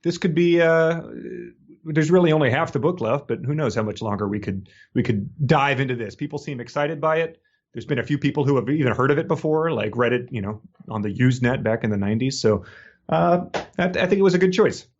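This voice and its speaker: A man's voice: medium pitch, animated, brisk. A man co-hosting a podcast, chatting in an informal, jokey, rambling way. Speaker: podcast co-host. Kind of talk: casual chat, bantering about books. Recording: slightly dull, lo-fi audio.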